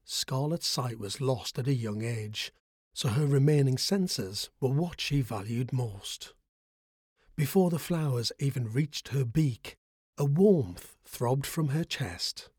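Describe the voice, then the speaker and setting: A man reading a bedtime story aloud, soothing and expressive. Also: medium pitch, slow pace, husky, no room sound, studio quality.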